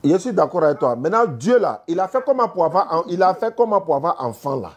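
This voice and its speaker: A woman hosts a live religious debate. Her voice is husky and swings widely in pitch. She is insistent, firing short, repeated questions at the other speaker. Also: sing-song, quick pace. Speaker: host taking phone calls on religion.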